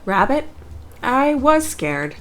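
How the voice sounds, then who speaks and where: Storybook bear, played by a woman: good-hearted, anxious, earnest, slow-spoken. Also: high voice, sing-song, soft background music, voice pushed up, exaggerated voice. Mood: earnest, honest. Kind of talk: children's storybook read-aloud.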